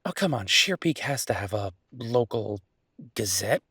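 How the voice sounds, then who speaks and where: A man playing an earnest, down-to-earth chef boyfriend in an audio roleplay, talking casually and a little hesitantly in a husky voice. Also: fairly low pitch, sing-song, unhurried, sharp tone, no echo, studio quality, heavily acted. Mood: hopeful, musing. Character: enthusiastic down-to-earth chef boyfriend opening a mountain restaurant. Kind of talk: audio roleplay acting, casual one-sided conversation.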